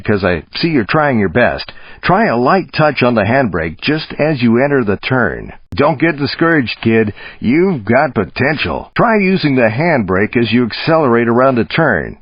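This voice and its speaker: Raspy American stunt coach. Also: male voice, medium pitch, dry recording. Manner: folksy, streetwise, instructive. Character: gruff, encouraging American movie stunt coordinator coaching a kid. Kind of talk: video game coaching dialogue. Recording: dry but dull lo-fi audio.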